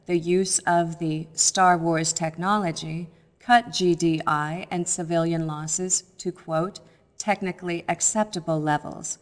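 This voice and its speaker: A young woman playing a composed, serious news anchor in a game cutscene, delivering a formal, measured, precise scripted newscast. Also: on the low side, in a large hall, natural voice.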